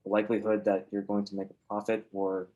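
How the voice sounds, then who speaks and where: A man who grew up in Oregon, hosting a relaxed, chatty interview as a real estate agent. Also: on the low side, fast. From Oregon, USA. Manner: relaxed, chatty, many filler words and restarts. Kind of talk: casual interview questions.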